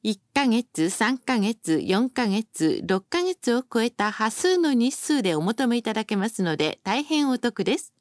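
A woman delivers recorded bus announcements in a fairly high voice with a lively, melodic rise and fall. She is formal and courteous and articulates each phrase carefully. The sound is close and dry, with very little room around it. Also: measured pace, no echo. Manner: formal, polite, carefully enunciated.

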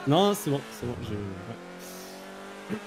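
A man's voice: mid-range voice, big pitch swings, extremely slow, music in the background. A man in casual game roleplay as a journalist, compliant and hesitant. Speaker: player roleplaying a journalist held up. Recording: noisy game voice chat.

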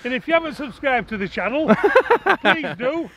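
A man's voice: extremely high pitch, very animated, normal speed, crowd noise. A man bantering and joking as a hiking companion, picked up from a distance outdoors. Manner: jokey, laughing, banter. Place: outdoors on a hillside path. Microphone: another person's camera mic, off to the side.

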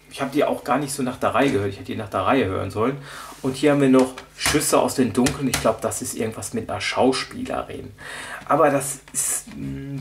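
A man's voice: medium pitch, vocal fry, a little hiss or hum. A man talking casually off the cuff in a show-and-tell vlog, rambling and hesitant as he thinks aloud. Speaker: vlogger showing audio drama collection. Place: indoors at a table, off camera.

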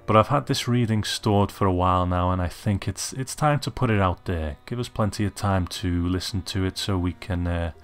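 Low-pitched podcast host, a man. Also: normal speed, few pauses. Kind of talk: conversational podcast introduction. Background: faint music.

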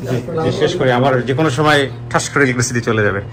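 A man's voice: mid-range voice, rapid-fire, a bit bright. A man with a Bangladeshi accent hosting a show, addressing guests and viewers. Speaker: show host.

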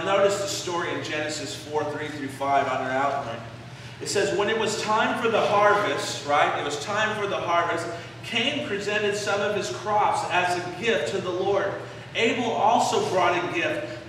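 High-voiced pastor, echoey church from far off. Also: male voice, a bit bright, slight background noise. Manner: explanatory, gestures while preaching, pauses on key phrases. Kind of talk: sermon. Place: church sanctuary, indoors, medium-sized. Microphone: far camera in the pews.

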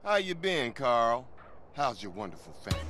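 An actor playing a cocky, menacing corrupt police officer in a video game, with a mocking, theatrical, drawn-out delivery. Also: male voice, medium pitch, very animated, natural voice. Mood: mocking, probing. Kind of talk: video game cutscene acting. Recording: game audio with loud street and vehicle noise.

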